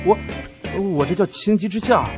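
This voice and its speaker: A man's voice: high voice, expressive, normal speed. A man acting a prickly young office guy over music. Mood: flustered, defensive. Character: prickly young male office worker, proud and evasive about love. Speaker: amateur voice actor. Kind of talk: audio drama acting. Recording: loud music behind the voice.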